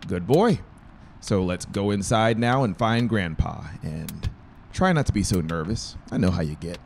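A man voicing a firm, reassuring middle-aged father in an audiobook, speaking in a measured, persuasive way. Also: sits low, expressive, gravelly, slight background noise.

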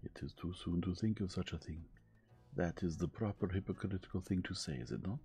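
A man's voice: sits low, brisk, warm tone, slightly rough, soft background music, full character voice. A man voicing a vain, precise middle-aged detective with a French accent who slips in French phrases. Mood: wry.

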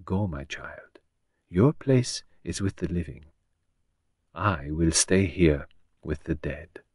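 A man doing a gentle detective. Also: mid-range voice, sing-song, a little slow, dry recording, studio quality, voice pushed up. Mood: weary. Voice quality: precise, formal phrasing. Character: fussy foreign male detective, gentle, weary. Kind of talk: audiobook character voice.

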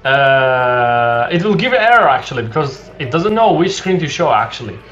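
A young man walking through a coding project for a screen-share tutorial, halting and restarting often as he explains, recorded indoors at his desk. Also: mid-range voice, sing-song, fairly bright tone. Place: small room indoors. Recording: dull lo-fi sound with faint music.

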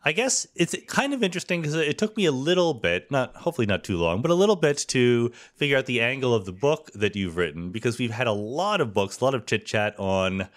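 A man's voice: medium pitch, sing-song, fairly quick, hardly any pauses. A man hosting a podcast interview, asking questions in a thoughtful, loose, discursive way. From United States. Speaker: podcast host.

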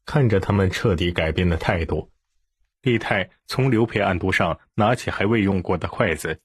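A man narrating a historical novel as an audiobook in a dramatic, expressive storytelling manner. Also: sits low, moderate pace, husky.

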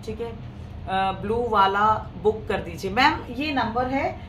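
A woman with a hoarse throat hosting a live clothing sale, chatting casually with viewers in Hindi mixed with English. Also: a little high, expressive, slow, fairly bright tone. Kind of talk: casual live-stream sales talk. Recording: echoey room, mic at a distance, faint noise.

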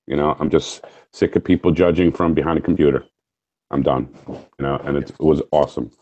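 Deep-voiced joking man on video call. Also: fairly even intonation, fairly quick, warm tone, dull audio. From Orange County, New York. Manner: jokey, candid, laughs easily. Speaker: guest, firefighter. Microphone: earphone headset on video call.

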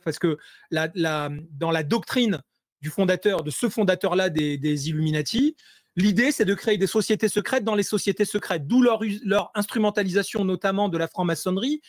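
A high-voiced man talks as an interview guest over a video call, his sound dry and close with no room around it. He stumbles through fillers and repeated words, then pushes hard and animated when he lands a point. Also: no echo. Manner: hesitant, many fillers and restarts; animated, rising intensity when making points. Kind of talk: conversational interview analysis.

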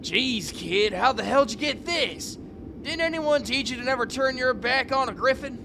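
A woman's voice: high voice, moderate pace, noisy background. A woman playing a brusque, scolding medic in an audio drama. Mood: exasperated.

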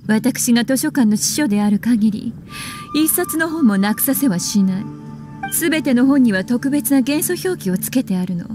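An actress plays a young witch librarian for an anime video game. She is poised and languid, teasing with a soft menace, in a fairly high feminine voice over clear background music. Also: expressive, normal speed, natural voice. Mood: teasing, assured.

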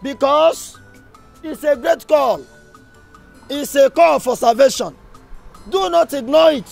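An actor playing a fervent evangelist, preaching emphatically with rhetorical questions and repetition. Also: male voice, very high-pitched, slow, creaky, a little mellow, faint music behind, heavily acted. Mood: urgent exhortation. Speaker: street preacher. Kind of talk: sermon.

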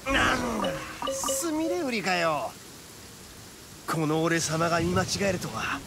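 Brash, animated young oni braggart. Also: male voice, sits high, a little slow, heavily acted. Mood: annoyed. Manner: brash, boastful, rough masculine speech. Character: boisterous, cocky young male oni, hot-blooded braggart. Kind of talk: anime-style game voice acting. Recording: studio voice over game music. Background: background music.

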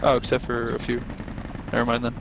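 A man chatting and joking with his squad during an online shooter match, getting excited when fighting starts. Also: mid-range voice, fairly quick, creaky, background noise. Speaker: gamer on squad voice chat. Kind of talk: casual game chat. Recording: loud gunfire and explosions behind. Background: game battle sounds.

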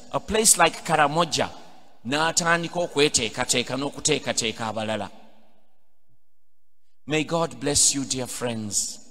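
Man, Ugandan accent, priest, emphatic homily, pulpit mic, long cathedral reverb. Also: medium pitch. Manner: emphatic preaching, building to loud exhortations. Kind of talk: homily mixing English and Luganda. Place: large cathedral, indoors at a pulpit. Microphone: pulpit microphone on a stand.

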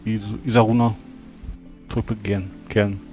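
A man's voice: on the low side, little pitch movement, fairly quick. A man playing a tabletop roleplaying game, hesitantly describing what his character does. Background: soft music.

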